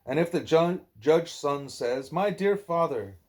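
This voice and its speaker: A man's voice: a little high, lively intonation, unhurried. Man reading a spiritual book aloud. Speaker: book reader, parent. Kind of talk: home read-aloud of a spiritual dialogue.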